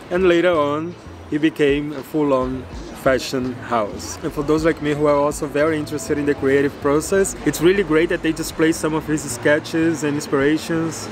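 A man in his thirties whose first language is Portuguese talks eagerly through a travel vlog in a slightly high voice, with lots of "like". He films himself at arm's length, and street traffic is clearly audible behind him. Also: steady tone, moderate pace. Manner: enthusiastic, explanatory, with frequent "like". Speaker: travel vlogger. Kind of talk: casual travel vlog narration. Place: outdoors on a city street. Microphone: camera held at arm's length. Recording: clear outdoor street noise.

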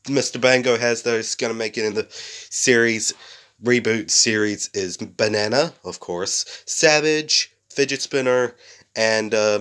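A young man, sick and a bit rough-voiced, rambling casually to a close camera in a small bedroom, full of fillers and restarts. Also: mid-range voice, fairly bright tone. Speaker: series creator.

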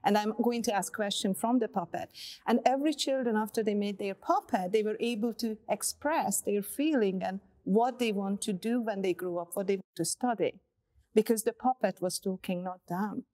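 A middle-aged woman from Hungary, speaking thoughtfully with many pauses and fillers as an artist moderating a panel in a bright studio. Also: mid-range voice. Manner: thoughtful, halting with frequent fillers. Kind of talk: relaxed panel conversation.

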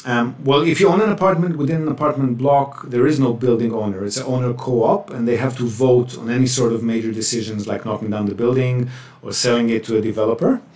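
A man with a mid-pitched voice fields questions as a webinar presenter. He explains things in a measured way, pausing as he goes. The sound is echoey and slightly distant from the microphone. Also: steady tone, a little mellow. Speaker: webinar presenter, property buyer's agent. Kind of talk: answering audience questions in a webinar. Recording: roomy echo, distant microphone.

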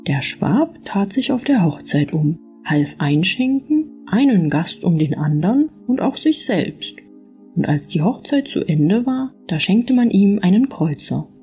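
A woman reading a scripted fairy-tale audiobook as narrator, with expressive storytelling. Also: medium pitch, a little slow, faint music behind.

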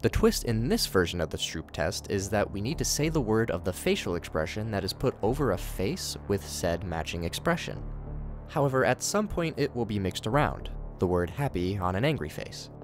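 A man's voice: lowish voice, big pitch swings, moderate pace, over music. A man giving scripted but casual commentary, with wry conversational asides. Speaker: host of a horror series commentary channel.

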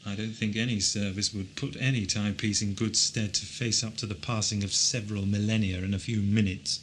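A man's voice: fairly low pitch, steady tone, fairly quick, a little hiss or hum, close to an everyday voice. A British man acting in an audio drama as an eccentric, learned time-travelling Doctor, concerned and slightly wry. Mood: musing, wry.

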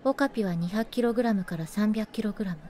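A woman acting a reserved high school team manager in an anime radio drama, with a straight-faced, deadpan manner. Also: fast talker, a little hiss or hum, close to an everyday voice.

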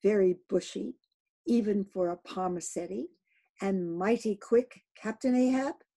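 Woman playing a male harpooneer, excited, emphatic, gravelly, storybook. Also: mid-range voice, unhurried.

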